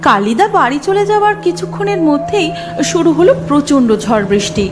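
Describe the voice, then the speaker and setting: A woman's voice: high-pitched, big pitch swings, a little fast. A woman narrating a scripted horror story dramatically, as the narrator of a cartoon. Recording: music laid under the narration.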